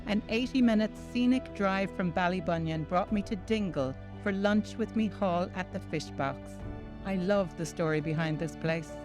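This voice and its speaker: An Irish woman narrates a travel program in a bright, enthusiastic scripted voice-over. Music plays clearly under her voice. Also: medium pitch, moderate pace. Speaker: travel show host.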